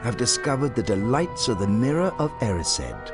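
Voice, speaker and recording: A man's voice: mid-range voice, big pitch swings, normal speed, voice pushed up. A man voicing a wise, kindly old wizard headmaster in a storybook narration. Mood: measured, wise. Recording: music behind the voice.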